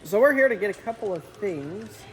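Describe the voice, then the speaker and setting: A man's voice: high voice, animated, a little fast, rough recording. A congested man chatting to his handheld camera as he narrates a family errand run through a farm supply store. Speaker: family vlogger. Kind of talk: casual vlog chat.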